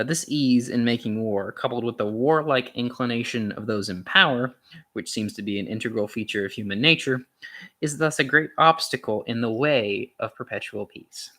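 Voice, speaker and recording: A man's voice: mid-range voice, bright, forceful tone. A man reading an essay aloud as an amateur, stumbling now and then and correcting himself, with a casual touch. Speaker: amateur reader of a philosophy essay.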